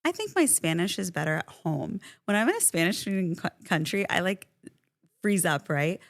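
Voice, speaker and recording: A woman's voice: on the low side, very animated, moderate pace, no room sound, studio quality. A woman podcast co-host who grew up speaking Farsi, chatting casually and animatedly with her partner and laughing often. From Iran. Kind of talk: casual couple chat.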